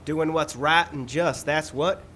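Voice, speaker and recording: A man's voice: on the high side, unhurried, a bit bright. A man playing a brash, hot-headed cowboy rancher with folksy talk in a comic radio western. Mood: indignant. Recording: live show with background ambience.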